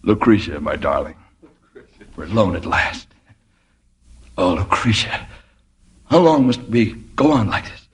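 A man's voice: low-pitched, very animated, a little slow, pauses often. An actor playing a lovesick, anxious werewolf posing as an office man, in melodramatic 1950s radio comedy style.

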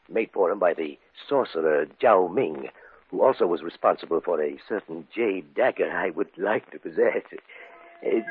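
A man's voice: fairly low pitch, phone-quality sound. An actor playing a courteous, hesitant retired art collector, an older man with a fussy, chuckling manner, in 1950s radio drama style. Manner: hesitant, chuckling, polite, storyteller. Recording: thin, hissy old radio recording.

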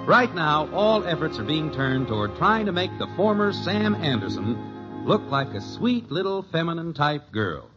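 A man's voice: a little high, big pitch swings, moderate pace. A man narrating a 1950s radio comedy in a genial, scripted storytelling style. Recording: muffled old radio broadcast. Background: clear background music.

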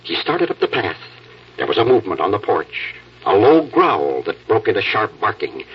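A fatherly old-time radio night editor, halting dramatic yarn-spinning, low voice, distant noisy recording. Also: wildly swooping pitch, lo-fi sound. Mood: wistful, reflective. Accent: American. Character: fatherly newspaper night editor spinning wistful human-interest yarns. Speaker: storyteller. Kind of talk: 1940s radio dramatic storytelling.

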